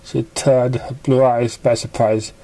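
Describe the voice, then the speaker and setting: A narrator reading a story in an amateur storybook style, with halting, stumbling delivery. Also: medium pitch, flat delivery, dark tone.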